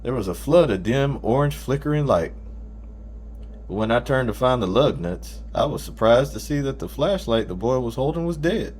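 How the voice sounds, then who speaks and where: A man's voice: medium pitch, expressive, moderate pace, darkish tone, a little hiss or hum. A man reading a first-person story aloud as an audiobook narrator.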